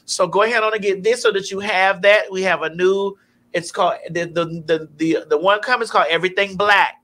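A woman's voice: medium pitch, fairly even intonation, a little fast, fairly bright tone. A middle-aged woman hosting a livestream, chatting in a blunt, playful, profane casual way. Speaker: talk show host. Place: indoor studio livestream. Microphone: studio mic on stand, close.

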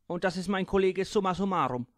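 A man's voice: sits high, brisk, no room sound, studio quality, pitched above the performer's own voice, lightly acted. A man voicing a blunt, matter-of-fact bronze alien in an audiobook.

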